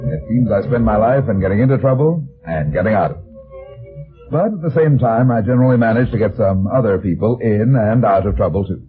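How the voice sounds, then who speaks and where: An actor playing a tough, sardonic, commanding private detective in an old-time radio drama. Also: male voice, on the low side, expressive, fairly quick, soft background music, natural voice. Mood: wry, relaxed. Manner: hard-boiled, commanding. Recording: thin, phone-like old radio recording.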